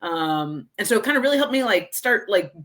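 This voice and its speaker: A woman from Texas with an American accent, chatting casually as an interview guest in a chatty way, quick to laugh. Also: medium pitch, sing-song, fairly bright tone, a little hiss or hum. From Houston, Texas, United States. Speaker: guest, tequila brand ambassador.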